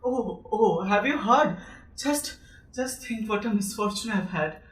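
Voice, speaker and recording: A woman's voice: mid-range voice, lively intonation, a little slow, natural voice, low-quality recording. A woman with an Indian accent playing a nervous, proud, hypochondriac male suitor who stammers and repeats words in an amateur audio drama. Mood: hesitant, rueful. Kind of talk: amateur student stage-play audio drama.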